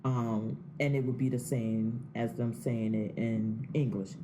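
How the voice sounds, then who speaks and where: A woman's voice: very deep voice, steady tone, unhurried, mellow, a little hiss or hum. A woman co-hosting a podcast, chatting casually in a blunt, profane way and laughing often. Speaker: podcast co-host. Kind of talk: casual opinionated chat.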